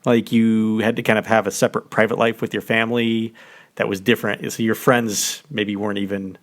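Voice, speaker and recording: A man's voice: on the low side, flat delivery, brisk. A man co-hosting a podcast, a physics professor who asks friendly, curious questions. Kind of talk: casual podcast chat.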